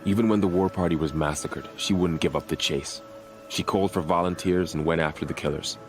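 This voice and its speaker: Guy acting as super deep voiced warrior on gate duty, grave and dutiful, game dialogue, bit of music behind. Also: fairly even intonation, close to an everyday voice. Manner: measured, earnest, grave.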